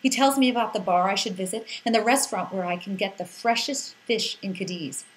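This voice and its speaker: A woman reading a scripted first-person story as an expressive narrator who acts out the exclamations in it. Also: medium pitch, fairly quick, rough recording.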